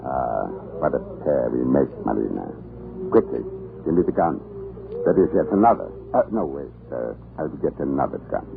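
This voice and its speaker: Very deep, gravelly cruel tyrant. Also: male voice, animated, moderate pace, heavily acted. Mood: gleeful cruelty. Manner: pompous, gloating, hot-tempered and impatient. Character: cruel, gloating male island governor in Tsarist Russia. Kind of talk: 1940s American radio drama acting. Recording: tinny, noisy old radio broadcast.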